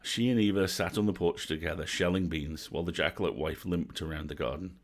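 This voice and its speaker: A man narrating a bedtime story from a script as an expressive storyteller. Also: low-pitched, fairly quick. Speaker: storyteller, host.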